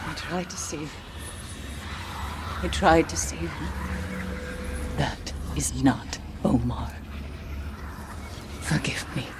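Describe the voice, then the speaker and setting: An actress playing a solemn, grieving survivor in a video game, haunted by lost friends, speaking deliberately and formally. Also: female voice, deep voice, heavy background noise. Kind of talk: video game cutscene acting.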